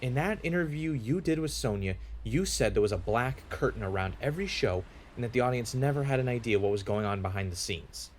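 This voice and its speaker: Male actor as suspicious podcaster, man, lively swooping intonation, insistent, probing, naturalistic audio drama dialogue. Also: mid-range voice, brisk, few pauses, a little hiss or hum, lightly acted. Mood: pressing, accusing. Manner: probing, pressing questioning.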